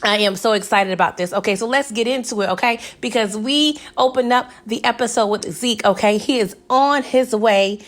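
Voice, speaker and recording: A young woman with a raspy, hoarse voice, chatting casually through a TV episode recap and often asking "okay?". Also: fairly high pitch, normal speed, few pauses. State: hoarse from recent illness. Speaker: TV recap vlogger.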